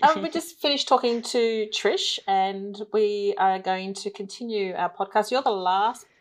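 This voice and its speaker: A woman's voice: medium pitch, measured pace, poor audio. A woman hosting a podcast, introducing guests in a friendly, teasing way. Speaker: podcast host. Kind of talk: casual podcast interview.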